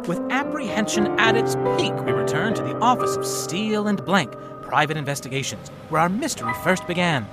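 A man's voice: high voice, expressive, a little fast, few pauses, loud music behind the voice. An actor playing a male narrator of a noir radio serial, portentous and tongue-in-cheek.

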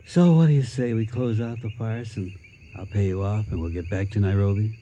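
An actor playing a skeptical, sardonic American tourist on safari in a radio drama, with an American accent and a wry, halting delivery. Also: male voice, on the low side, mellow, a little hiss or hum, close to an everyday voice.

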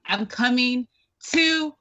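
A young woman co-hosting a casual podcast, loud and teasing, laughing often. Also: high voice, takes it slowly, dry recording, lo-fi sound. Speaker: co-host.

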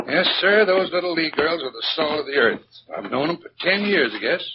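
An actor playing a chatty, folksy small-town American filling station owner, easygoing and gossipy, in a 1940s radio drama. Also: male voice, on the high side, expressive, fairly quick. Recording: muffled, noisy 1940s radio recording.

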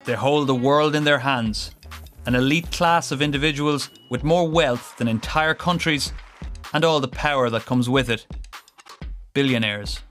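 A man's voice: medium pitch, normal speed. A man delivering scripted narration for a business news report. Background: background music.

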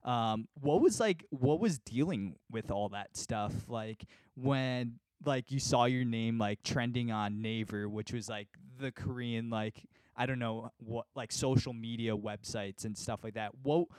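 A man's voice: mid-range voice, a little slow, hardly any pauses, a little mellow, dry recording. A young man hosting a casual interview asks long, meandering questions packed with "like.". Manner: rambling questions, filled with "like".

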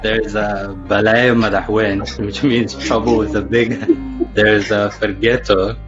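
Low-voiced man, amused and chuckling. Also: flat delivery, slow, highs cut off, music in the background. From Somali descent. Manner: amused, laughs while listing examples. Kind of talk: casual podcast chat.

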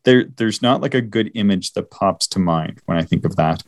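A man with a very low voice talking casually about the night sky, wandering through his thoughts with lots of fillers and restarts. The recording is close and dry, with no room sound. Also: moderate pace, no room sound. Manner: rambling, hesitant, many fillers and restarts. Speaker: podcast co-host. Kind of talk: casual astronomy chat.

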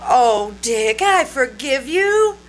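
A woman's voice: high voice, very animated, slow, full character voice. A woman playing a breezy, teasing wife in an old-time radio comedy, airy and mock-sweet. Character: breezy, teasing wife scoring points off her husband.

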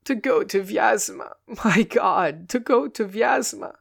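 A woman's voice: medium pitch, lively intonation, takes it slowly, vocal fry, slightly rough, pitched above the performer's own voice, exaggerated voice. A woman voicing a flustered, stammering male comic actor in a storybook reading. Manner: stammering, exasperated. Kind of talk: dramatic audiobook reading.

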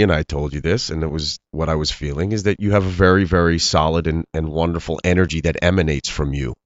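Deep-voiced, earnest middle-aged man. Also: dry recording, highs cut off. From Iran, raised in Pennsylvania. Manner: earnest, halting, many restarts. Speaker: actor guest. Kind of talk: interview conversation.

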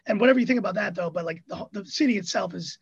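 Guest, thirties, American accent, breathy higher voice, chatty and rambling, jokey asides. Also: male voice, very fast, no echo, highs cut off. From Hong Kong. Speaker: podcast guest. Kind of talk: casual interview chat.

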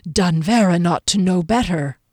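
A woman's voice: lowish voice, moderate pace, a little mellow, no room sound, studio quality, lightly acted. A woman voicing a menacing ethereal cave guard who interrogates and commands with a hissing, accusing delivery in a fantasy audiobook. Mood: stern, threatening.

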